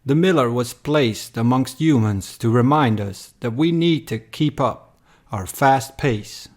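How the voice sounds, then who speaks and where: A man narrating a scripted dramatic poem in a measured, solemn manner. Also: medium pitch.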